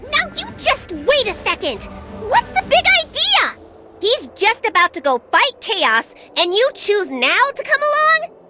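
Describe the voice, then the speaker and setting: A woman's voice: very high-pitched, huge pitch swings, measured pace, over music, exaggerated voice. A woman playing a tiny, cheeky, bossy fairy guide in a video game, with a sassy, sing-song delivery. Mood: indignant. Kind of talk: video game cutscene acting.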